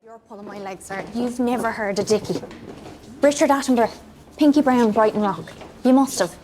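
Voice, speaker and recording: A woman's voice: fairly high pitch, brisk, darkish tone, lightly acted. An actress playing an eager young policewoman and film buff, gushing and earnest, in a film clip. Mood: starstruck, eager.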